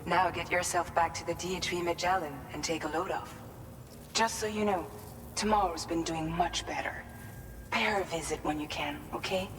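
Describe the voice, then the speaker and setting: A woman's voice: fairly low pitch, moderate pace, natural voice. A woman voicing a caring, familiar teammate in a video game, talking casually and affectionately. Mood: warm, caring.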